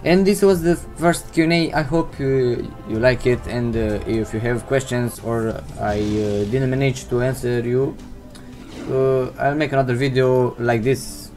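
A teenage boy from Romania, a native Romanian speaker, hosts a casual Q&A vlog in English, pausing often with fillers and non-native phrasing. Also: mid-range voice, steady tone, darkish tone, faint music behind. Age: teens. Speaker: channel host answering viewer questions. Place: indoors at home, seated in gaming chair. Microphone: desk microphone.

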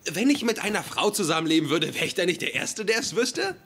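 Awkward defensive nerdy boyfriend in a sitcom, lively delivery, noisy audio. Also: male voice, high voice, fairly quick, full character voice. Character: defensive, awkward young male nerd in love. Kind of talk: sitcom dub dialogue. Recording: noisy sitcom soundtrack with ambience.

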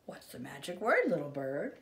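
A woman's voice: deep voice, wildly swooping pitch, moderate pace, a bit bright, roomy sound, voice pushed down, exaggerated voice. A woman voicing bossy storybook farm animals, chiding emphatically and making exaggerated animal calls. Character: bossy farm animals scolding a silly little bird.

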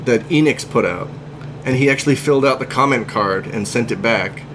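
A man hosting a podcast, telling stories in an animated, joking way. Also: medium pitch, brisk, noisy background. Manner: animated, jokey storyteller. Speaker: podcast host. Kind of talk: casual chat.

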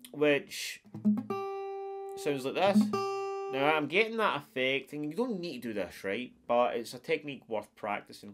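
A man in his forties with a stuffed-up nose, giving a relaxed step-by-step guitar lesson from a small wood-paneled room. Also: medium pitch. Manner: friendly, explains step by step with counts. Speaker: guitar teacher. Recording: acoustic guitar playing throughout.

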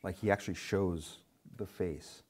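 Man, middle-aged, low pitch, rambling casual movie talk, lots of verbal padding, lapel mic. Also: fairly even intonation, unhurried, a little mellow. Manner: rambling, full of "you know". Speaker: guest commentator. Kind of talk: casual film discussion. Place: indoor studio set with curtains.

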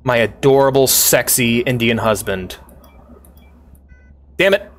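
A man streaming a story game, reading lines aloud and reacting with loud, profane excitement. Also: mid-range voice, takes it slowly, slight background noise. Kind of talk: gaming livestream, reading dialogue aloud.

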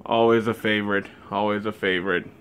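A man giving a casual filmed tour of his collection, listing items slowly and offhandedly with many fillers, recorded on a handheld phone in a small wood-panelled room. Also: fairly low pitch, level tone, fairly bright tone, slight background noise. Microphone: phone camera held while walking.

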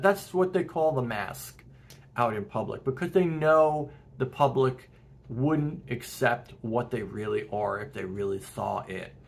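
Middle-aged man, earnest home-video host, husky mid-pitched voice. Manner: earnest, animated, gesturing, with short laughs. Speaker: self-help video host. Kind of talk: casual direct-to-camera talk. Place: home room with porch window and plants.

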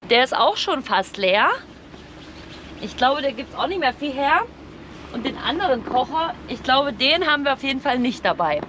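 A woman talks informally as a camping vlogger. She explains things in a chatty, everyday way, with clear outdoor and vehicle noise around her voice. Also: sits high, expressive, normal speed. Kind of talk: casual vlog narration.

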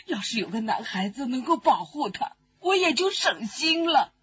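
悲痛哽咽、嗓音很高的农村母亲. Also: female voice, high-pitched, very animated, a bit bright, highs cut off. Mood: wistful, tearful. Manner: halting, tearful, drawn-out sighing speech. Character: grieving rural mother of a murdered student. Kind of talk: radio drama acting.

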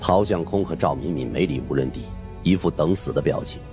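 Very deep-voiced male suspense storyteller. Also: brisk, mellow, soft background music. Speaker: audiobook narrator. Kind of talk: dramatic scripted first-person supernatural suspense narration.

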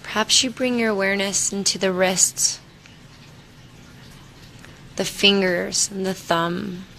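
A woman leading a guided meditation in a soothing, unhurried manner, leaving long pauses between short instructions. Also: mid-range voice, steady tone, vocal fry, sharp tone, slight background noise, rough recording. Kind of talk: guided meditation, body scan.

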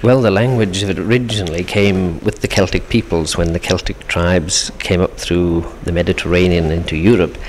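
A man's voice: sits low, fairly even intonation, normal speed, few pauses. A man from the Isle of Man, a guest on a 1970s radio interview, explaining steadily and patiently. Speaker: Manx language expert guest. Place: radio studio. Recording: faint hiss on 1970s radio tape.

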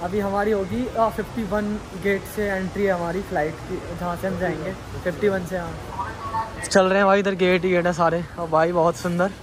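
A young man from India vlogging his trip through an airport onto a plane, chatty and excited, talking to his viewers as guys and mixing in English words. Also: sits high, moderate pace, noisy background. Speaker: travel vlogger. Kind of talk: excited handheld travel vlog. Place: airport terminal and plane cabin, indoors.

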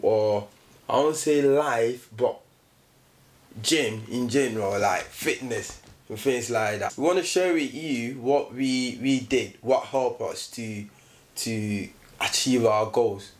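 A man's voice: medium pitch, takes it slowly, a little hiss or hum. A young man on a sofa indoors, co-hosting a casual motivational chat about the gym and repeating phrases for emphasis. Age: young adult. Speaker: co-host, fitness vlogger. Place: indoor room, seated on sofa. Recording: roomy echo.

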